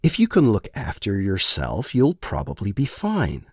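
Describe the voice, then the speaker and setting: A man voicing a cool, amused woman handler, deliberate, condescending and menacing, in an audio story. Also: on the low side, huge pitch swings, darkish tone, slightly rough, no echo, studio quality, heavily acted. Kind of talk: scripted short-story character voice.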